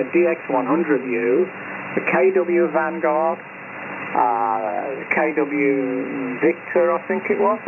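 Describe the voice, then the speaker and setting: Older male radio ham, creaky voice, hesitant casual delivery, dull noisy shortwave sound. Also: mid-range voice. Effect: shortwave radio transmission. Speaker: amateur radio operator. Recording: muffled narrowband shortwave radio with static noise.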